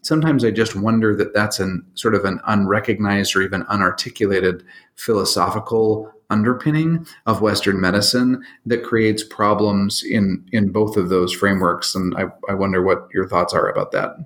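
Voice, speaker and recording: A man with a lowish voice muses aloud while hosting a podcast. His phrasing is reflective and a little hesitant. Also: moderate pace, fairly bright tone. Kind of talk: podcast interview.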